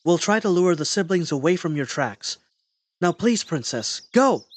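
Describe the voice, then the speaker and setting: Man playing an animated, earnest young spellcaster. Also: on the high side, moderate pace. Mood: urgent. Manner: expressive, courteous, slightly flustered. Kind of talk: audio roleplay drama acting.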